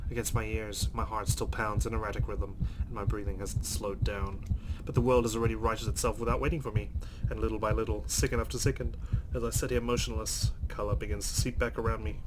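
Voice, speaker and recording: A man's voice: fairly low pitch, flat delivery, brisk, hardly any pauses, close to an everyday voice. A man reading aloud the part of a shaken, exhausted young woman driver in a horror visual novel, narrating dramatically. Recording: noisy home recording.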